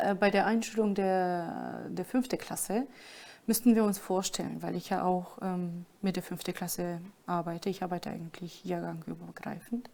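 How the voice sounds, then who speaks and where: A woman's voice: medium pitch, warm tone. A middle-aged woman who works as a school mediator, telling personal anecdotes in an interview. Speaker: school mediator for Roma pupils.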